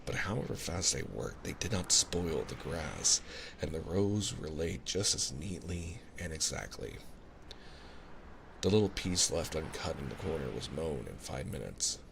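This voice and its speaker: Male ASMR storyteller, rock-bottom pitch, unhurried scripted reading, ocean sounds clearly audible behind him. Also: lively intonation, noisy background. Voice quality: very deep, gravelly. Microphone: binaural ASMR microphone, close.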